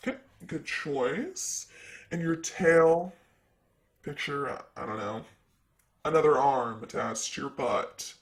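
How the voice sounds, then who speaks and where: A man's voice: on the high side, takes it slowly. A man playing a sassy, vain, flirty ancient demon secretary in an improvised ASMR roleplay, with a teasing, casual manner. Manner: teasing, casual, drawling asides.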